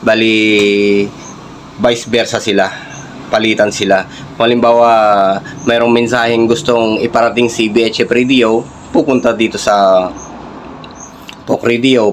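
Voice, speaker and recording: A Filipino man giving a casual, hands-on tech demonstration in a halting, informal, explanatory way. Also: mid-range voice, a little hiss or hum. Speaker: vlogger, radio hobbyist.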